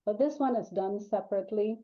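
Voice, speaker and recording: A woman's voice: mid-range voice, a little fast, mellow. An older woman from Trinidad and Tobago, a guest author chatting casually in an interview, talking in loose runs with many ums and restarts. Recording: dull lo-fi call audio.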